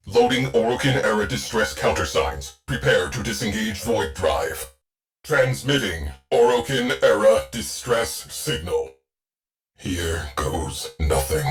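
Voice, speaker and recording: A stern shipboard machine mind from a video game, deep in pitch and unhurried. He delivers terse, formal fragments with the solemn weight of a loyal old soldier. Faint music sits behind the voice. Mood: urgent, commanding. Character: terse, solemn male shipboard artificial intelligence, battle-hardened and loyal. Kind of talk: scripted video game character lines.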